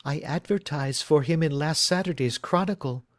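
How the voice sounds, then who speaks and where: A man's voice: moderate pace, no room sound, studio quality, lightly acted. A man voicing an earnest young woman who is anxious and devoted to her missing fiancé, in a raised, pleading voice. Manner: pleading, distressed, higher voice.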